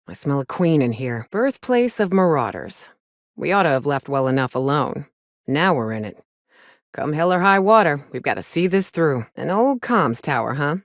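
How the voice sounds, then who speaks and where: An actress voicing a wry, plain-spoken frontier huntress with a folksy, sardonic manner in a video game. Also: female voice, deep voice, very animated, normal speed, dry recording, studio quality. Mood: grim, resolute. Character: gruff, wry frontier woman, monster hunter and loyal companion. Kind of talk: video game companion dialogue.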